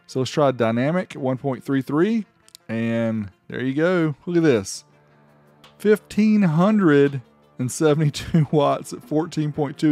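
Male review host, mid-pitched, informal and folksy, casual voice-over with faint music behind. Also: a little mellow. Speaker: host reviewing car audio amplifiers.

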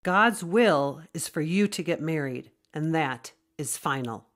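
A woman's voice: low-pitched, lively intonation, unhurried. An actress playing a stern, unyielding middle-aged Roman mother in an audio drama, with a commanding manner.

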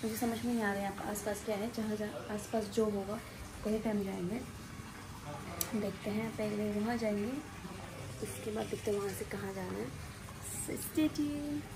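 A woman's voice: medium pitch, fairly even intonation, normal speed, noisy background. A woman in her twenties chatting playfully as she films a travel vlog on a phone held at arm's length, first in a hotel room and then in a market. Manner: chatty, playful, exclaims over things. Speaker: travel vlogger. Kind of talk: casual travel vlog chat. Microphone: phone camera at arm's length.

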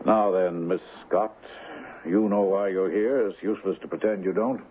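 A man's voice: sits low, fairly even intonation, normal speed, natural voice. An actor playing a domineering, brusque middle-aged millionaire father, curt and dismissive, in a 1970s radio drama. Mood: scornful, commanding. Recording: muffled, noisy 1970s radio broadcast.

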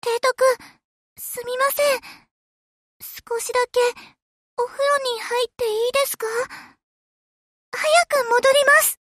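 Tiny polite anime ship girl, super high bright voice, eager to help, sweet n childlike. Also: steady tone, slow pace, long pauses, no room sound, full character voice. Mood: shy, hesitant. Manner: polite, dutiful, slightly hesitant. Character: polite, earnest little warship girl, eager to serve. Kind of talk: Japanese anime game voice lines.

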